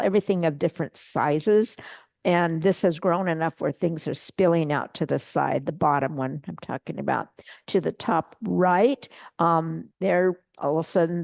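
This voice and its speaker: A middle-aged woman giving a relaxed, chatty slide-show talk to a club, with many fillers and restarts. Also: low-pitched, vocal fry, dry recording. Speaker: guest presenter at a bonsai club meeting.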